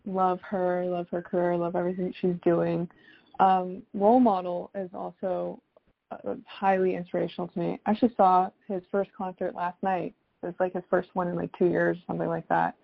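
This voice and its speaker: A young woman answers interview questions in a casual, chatty way. Her voice sits on the low side and often slips into vocal fry. The sound is dry and slightly dull. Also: level tone, moderate pace, no echo. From South Florida, United States. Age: young adult. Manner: chatty, frequent "like" and youthful slang. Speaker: singer-songwriter guest.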